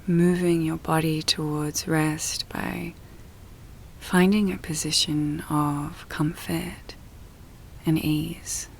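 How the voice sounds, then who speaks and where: A woman guiding a relaxation meditation in a soft, breathy, often near-whispered voice, soothing and full of long pauses. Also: lowish voice, slight background noise. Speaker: yoga nidra meditation guide.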